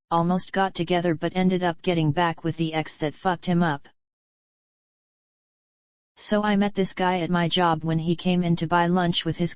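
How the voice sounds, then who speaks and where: A woman reading an online post aloud in steady scripted narration. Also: on the low side, no room sound. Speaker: story reader. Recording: slightly dull lo-fi sound.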